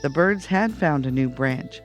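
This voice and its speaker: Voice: mid-range voice, lively intonation, a little slow, soft background music. A storyteller reading a children's bedtime story aloud as narrator.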